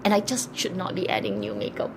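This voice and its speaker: A woman's voice: on the low side, sing-song, fast, slight background noise, poor audio. Chatty giggly woman. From Malaysia. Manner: chatty and giggly, laughs often between thoughts. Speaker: beauty vlogger answering viewer questions. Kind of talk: casual Q&A chat.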